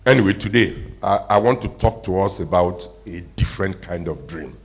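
A man, a pastor preaching a conversational sermon to his congregation, often asking them questions and slowing down to stress a point. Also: deep voice, lively intonation, dull audio. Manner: conversational, asks the congregation questions, slows for emphasis. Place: church hall with congregation.

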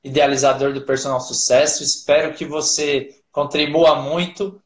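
A man hosting a live interview with an upbeat, eager delivery and a slightly high voice. His voice carries the echo of a bare, roomy space. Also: steady tone, moderate pace. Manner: upbeat, enthusiastic. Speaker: live-stream host. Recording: echoey room.

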